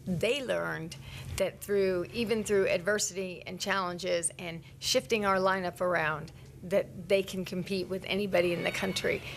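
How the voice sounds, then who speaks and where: A woman's voice: on the low side, steady tone, moderate pace, vocal fry, a little hiss or hum. A woman coach giving a post-event interview, earnest and emphatic about her team. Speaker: college gymnastics coach.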